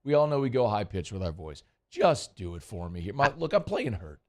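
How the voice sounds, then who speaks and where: A man's voice: lowish voice, sing-song, brisk, darkish tone. A man hosting casual sports talk radio, chatty and rambling, his voice worn and strained. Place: radio studio.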